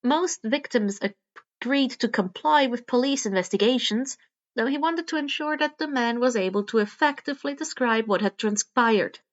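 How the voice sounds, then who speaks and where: A woman's voice: fairly high pitch, normal speed. A woman reading fan fiction aloud as narrator, now and then stumbling and rereading phrases. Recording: dull, muffled lo-fi home recording.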